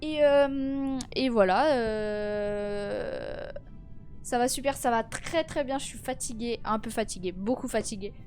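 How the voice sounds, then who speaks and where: A teenage girl with a high voice chats with her live-stream viewers, tired and a little stuffy with a cold. She fills her talk with fillers and restarts as she greets people. Faint music plays behind her. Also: slow, darkish tone. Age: teens. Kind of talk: casual live-stream chat.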